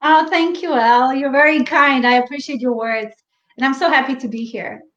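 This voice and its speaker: A woman with a Bosnian accent, chatting warmly as a guest on a live stream and laughing easily. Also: sits high, fairly quick. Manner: warm, chatty, laughs easily, restarts sentences. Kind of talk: casual interview chat over a live stream. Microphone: video call. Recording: echoey, dull lo-fi live stream audio.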